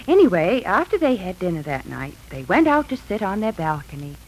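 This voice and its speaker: An actress playing a gentle, hopeful young wife and mother, tender and expressive in 1940s radio drama style. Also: mid-range voice, fairly quick, low-quality recording. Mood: storytelling. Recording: vintage radio recording with music.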